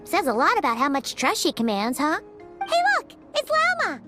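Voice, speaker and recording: Squeaky, very high little fairy companion. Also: female voice, sing-song, fairly quick. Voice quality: squeaky, childlike. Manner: perky, speaks of self in third person. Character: small chirpy childlike fairy companion, eager and blunt. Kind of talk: video game voice acting. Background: background music.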